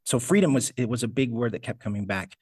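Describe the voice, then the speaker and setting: A man's voice: mid-range voice, expressive, fast, darkish tone, husky, dry recording. Reflective man, dry close mic. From United States. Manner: thoughtful, searching, many restarts. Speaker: guest, homesteader and teacher. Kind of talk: reflective interview answers.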